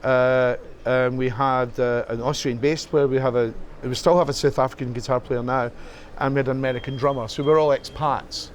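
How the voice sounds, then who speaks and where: A middle-aged man with a Scottish accent, talking as a guest in a club. He speaks haltingly, with many fillers and restarts. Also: medium pitch, flat delivery, slight background noise. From Scotland. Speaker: interview guest, musician. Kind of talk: casual chat.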